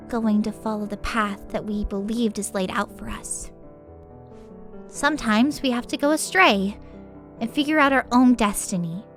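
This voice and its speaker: A woman's voice: a little high, fairly bright tone. A woman voicing an earnest, wise pony princess and aunt who speaks patiently and moralises. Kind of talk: animated fan audio drama.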